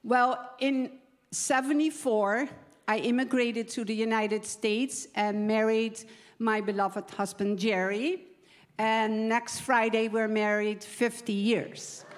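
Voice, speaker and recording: Casting: female preacher, 70s, Dutch accent, slightly high voice, very unhurried, earnest testimony delivery. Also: little pitch movement. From Netherlands. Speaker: guest preacher giving a testimony. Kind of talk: sermon testimony to a church gathering.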